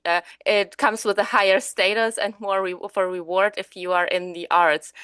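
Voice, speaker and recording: Lively woman host, Ukrainian-born. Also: medium pitch, clear, resonant tone, no echo. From Ukraine, raised in Germany. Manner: animated, laughs mid-sentence. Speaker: podcast host. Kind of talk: casual interview chat.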